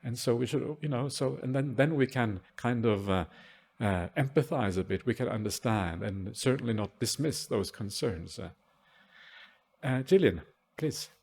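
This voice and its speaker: A man with a husky voice and a middle pitch answers questions at a monastery meditation retreat. He speaks gently and haltingly, pausing often on "uh" fillers between his thoughts. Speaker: Buddhist monk answering questions. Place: retreat hall at a forest monastery.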